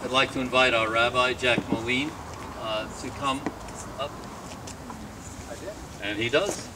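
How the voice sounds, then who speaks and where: A man's voice: medium pitch, fairly even intonation, a little slow. A man acting as emcee, introducing speakers at an outdoor ceremony. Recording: distant mic, loud outdoor vehicle noise.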